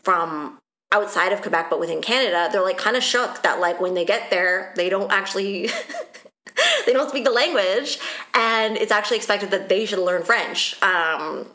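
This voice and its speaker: A woman's voice: mid-range voice, animated, normal speed, pushed and bright. A woman from Ontario co-hosting a casual political podcast, chatty and self-deprecating with many fillers. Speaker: podcast co-host.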